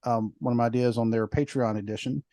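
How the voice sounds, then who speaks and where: A man's voice: medium pitch, fairly even intonation, fast talker, mellow, no echo. Chatty man with a dry sound. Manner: rambling, chatty, many fillers. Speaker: podcast co-host. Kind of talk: casual podcast chat.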